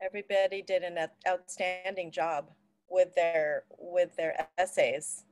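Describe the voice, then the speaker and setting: A woman's voice: on the low side, fairly even intonation, a little slow, a little mellow, no room sound. A woman hosting an online event, chatting casually and moderating a video call with frequent mm-hmms. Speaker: event host.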